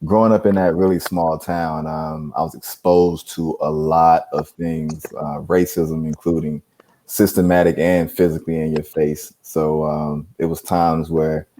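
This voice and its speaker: A man's voice: very deep voice, level tone, a little slow, dark tone, a little hiss or hum. A man from Georgia, talking easily about growing up as an interview guest. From Dixie, Georgia. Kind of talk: interview, personal background.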